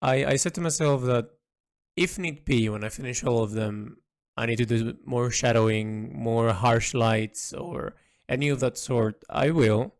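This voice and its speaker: A man narrates a personal artist's log in a reflective way. He speaks slowly, pausing and feeling his way through each thought with halting phrasing. The recording is dry, with hardly any room around the voice. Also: mid-range voice, no echo. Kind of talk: reflective spoken audio log.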